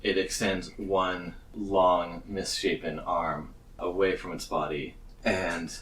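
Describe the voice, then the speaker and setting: A man narrating a tabletop fantasy game as game master, dramatic and deliberate. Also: low voice, little pitch movement, a bit bright. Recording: echoey, slightly distant, faint ambient noise.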